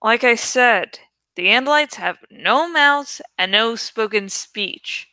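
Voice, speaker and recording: A woman reading a story aloud in expressive narration. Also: on the high side, takes it slowly, clean tone, dry recording. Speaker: audiobook reader.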